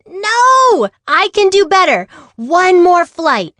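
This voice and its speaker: A woman voicing an eager, daring, stubborn girl adventurer in a storybook, excited and emphatic. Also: extremely high pitch, slow pace, no echo, voice pushed up, full character voice. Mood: pleading, determined.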